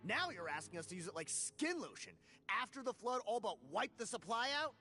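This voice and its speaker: A man's voice: high-pitched, very animated, fairly quick, bright, forceful tone, faint music behind, exaggerated voice. A man playing an indignant, argumentative, hot-tempered convict in a video game. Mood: exasperated. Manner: argumentative, exasperated. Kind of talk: video game dialogue acting.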